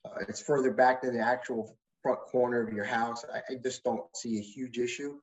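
A man advising a zoning board on a video call, explaining in a measured, hedging way with frequent restarts. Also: medium pitch, fairly even intonation. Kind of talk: explaining at a public meeting. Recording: muffled video-call audio.

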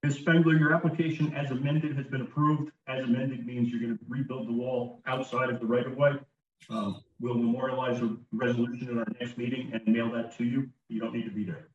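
A man running formal proceedings at a zoning board meeting on a video call, brisk and procedural. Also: mid-range voice, little pitch movement, a little hiss or hum. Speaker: board attorney. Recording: echoey distant muffled call audio.

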